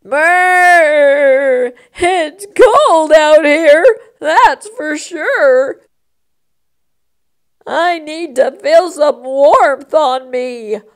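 Woman acting out a very high, quavering storybook snowman. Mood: shivering, complaining. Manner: quavering, drawn-out, exaggerated delivery. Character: shivering cold snowman, dramatic, childlike, eager to warm up. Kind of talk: children's storybook character voice.

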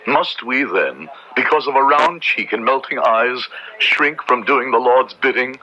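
Stern, piercingly bright Puritan preacher. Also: male voice, mid-range voice, animated, a little slow. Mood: zealous. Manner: pompous, sermonizing, formal archaic speech. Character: stern, righteous Puritan minister, zealous witch hunter. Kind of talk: old radio drama acting. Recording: muffled, noisy old radio drama recording.

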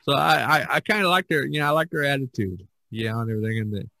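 A man's voice: medium pitch, animated, quick pace, gravelly, sharp tone, dry recording. Man hosting a casual podcast, close dry sound. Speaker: podcast host.

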